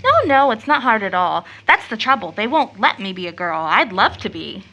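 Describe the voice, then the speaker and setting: A woman's voice: medium pitch, animated, normal speed, pushed and bright, lo-fi sound. An actress playing an eager, tender-hearted teenage schoolgirl in an audio drama, chatty, girlish and earnest. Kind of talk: stage-play audio drama acting.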